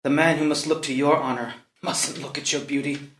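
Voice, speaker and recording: An actor playing a tormented, passionate young prince in a classical stage drama, declaiming and brooding between hushed lines and outcries. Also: male voice, fairly high pitch, steady tone, brisk, lightly acted. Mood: pained restraint. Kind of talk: classical stage soliloquy, unrehearsed read. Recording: roomy echo around the voice.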